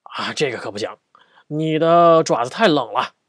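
A woman's voice: sits low, expressive, moderate pace, voice pushed down. A woman voicing a big grown-up mouse in a children's story, sleepy and patient, grumbling and sighing. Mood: grumbling refusal. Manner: grumbling, sighing, matter-of-fact.

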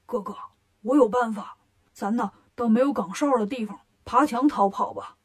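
A woman's voice: a little high, moderate pace, natural voice. A woman voicing a simple, gloomy male pig disciple from a children's story, grumbling in a plaintive drawl. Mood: suggesting.

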